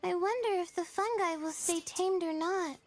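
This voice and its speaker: A woman's voice: very high-pitched, moderate pace, slight background noise. A woman playing an earnest, sincere young girl with a girlish voice and hesitant manner in an anime fantasy game. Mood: curious, earnest.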